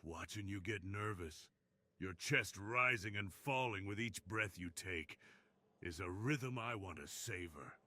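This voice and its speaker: A seductive crime boss, acted out by a man for an intimate ASMR roleplay. His voice sits on the low side, slow and hushed, slipping toward a whisper. He sounds commanding and teasing, with a tender streak under the hard exterior. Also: exaggerated voice. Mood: dominant, admiring.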